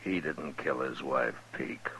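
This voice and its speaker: An American actor playing a stern, gruff, commanding frontier marshal in a 1950s radio western. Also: male voice, very deep voice, slow, fairly bright tone, heavily acted. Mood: accusing, bitter. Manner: commanding, blunt. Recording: noisy old radio drama recording.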